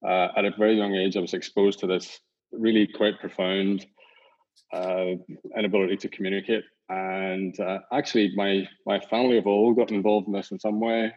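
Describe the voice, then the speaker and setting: Northern Irish man, low, lo-fi. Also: moderate pace. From Northern Ireland. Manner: relaxed, rambling, many fillers and restarts. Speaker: company founder and CEO, guest. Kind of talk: casual interview talk. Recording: dull lo-fi audio.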